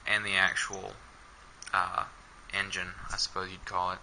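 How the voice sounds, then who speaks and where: A man's voice: sits low, completely flat delivery, normal speed, creaky, sharp tone, slight background noise, poor audio. A young man filming himself indoors with a head-mounted camera, casually walking through his camping gear with many ums and uhs. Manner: hesitant, many fillers. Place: small indoor room.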